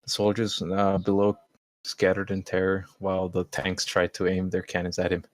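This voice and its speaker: A deep-voiced man reads a story in a casual, amateur style. He is amused and trips over words now and then, recorded dry with little room around him. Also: monotone, normal speed, no echo. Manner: informal, amused, stumbling. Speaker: amateur reader. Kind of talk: casual read-aloud of a story.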